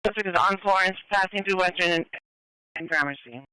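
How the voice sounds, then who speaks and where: A male police dispatcher with a high, crisp voice relays pursuit updates over the radio. He is clipped and procedural, repeating reports at a quick pace, and the sound is dry. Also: bright, forceful tone, dry recording, poor audio. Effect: police radio transmission.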